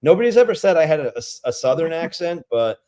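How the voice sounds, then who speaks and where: A man's voice: on the high side, very animated, quick pace, warm tone. A man with a Rhode Island accent, chatting casually on a livestream as a beer-review vlogger, rambling a little as he talks. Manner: chatty, rambling, reads out viewer comments.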